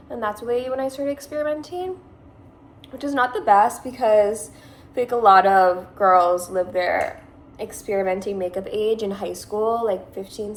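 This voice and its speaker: Woman, 20s, Californian accent, highish voice, casual rambling vlogger. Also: slight background noise. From Massachusetts, USA. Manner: chatty and rambling, lots of "like". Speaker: beauty vlogger. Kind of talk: casual makeup tutorial.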